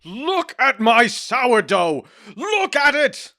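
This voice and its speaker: A man's voice: extremely high pitch, big pitch swings, slow, bright, forceful tone, full character voice. A man acting a fussy, aggrieved fairy king in a comedic audio drama, with indignant, theatrical exasperation. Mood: outraged.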